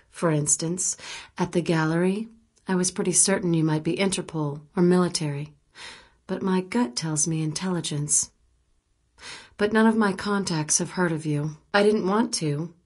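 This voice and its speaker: A woman's voice: lowish voice, steady tone, normal speed, darkish tone, husky. An actress voicing a wry, probing, guarded woman journalist in a spy thriller video game, speaking in a dry, teasing, conversational way. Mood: probing, suspicious. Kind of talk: naturalistic video game dialogue acting.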